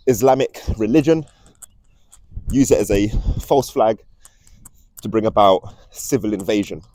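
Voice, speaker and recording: A man's voice: on the low side, dark tone, dry recording. A young man, talking earnestly and ominously in a casual rambling vlog as he walks outdoors. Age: young adult. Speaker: vlog host. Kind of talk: casual rambling monologue to camera. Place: outdoors, walking.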